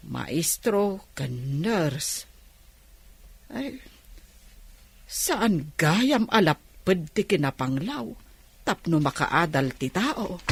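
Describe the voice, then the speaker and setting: A worried old village mom in a radio drama, slow talker, pitch goes all over. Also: female voice, husky, heavily acted. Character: lonely, worried older village mother living alone.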